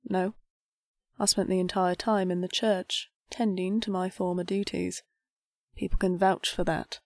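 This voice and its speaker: A woman's voice: lowish voice, level tone, unhurried, gravelly, darkish tone, no room sound. Woman acting as disillusioned young priestess, bitter, defiant, articulate, audio drama, dry close sound. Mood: guarded, defiant. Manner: formal, articulate, impassioned. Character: disillusioned nineteen-year-old priestess, defiant, grieving, bitter.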